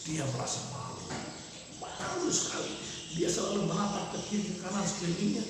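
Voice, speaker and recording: A man telling a folk legend in a halting, repetitive oral storytelling manner. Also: high-pitched, steady tone, over loud music. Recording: echoey room with loud background noise.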